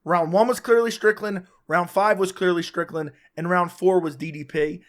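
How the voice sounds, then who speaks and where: Hoarse man doing a fight recap. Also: sits high, steady tone. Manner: casual, opinionated, talks fast in bursts with restarts. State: sick, losing his voice. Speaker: MMA commentator, channel host. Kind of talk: solo post-fight recap to camera.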